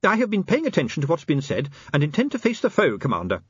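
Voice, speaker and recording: A man's voice: fairly high pitch, wildly swooping pitch, fast, husky, no echo, muffled recording, voice pushed up, exaggerated voice. A man voicing a small, prim, earnest inspector with formal, emphatic speech, frightened but determined, in an audiobook.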